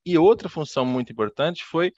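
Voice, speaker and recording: A man's voice: fairly high pitch, animated, brisk, darkish tone, no room sound, dull audio. Dry-sounding Brazilian man hosting an interview. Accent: Brazilian Portuguese. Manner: friendly, fluent, explanatory. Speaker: podcast host, interviewer.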